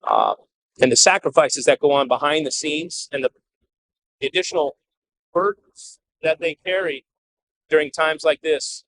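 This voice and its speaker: A man speaking as a police chief at a press briefing, earnest and measured, with a halting delivery as he gives a statement and answers questions. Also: a little high, very animated, a bit bright, slight background noise, rough recording.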